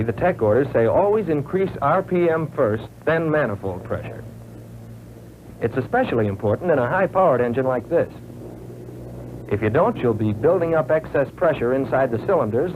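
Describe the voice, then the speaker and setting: An actor playing an earnest young American military pilot, recounting his flight training in measured, folksy 1940s training film narration. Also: mid-range voice, close to an everyday voice. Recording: tinny, noisy old film soundtrack.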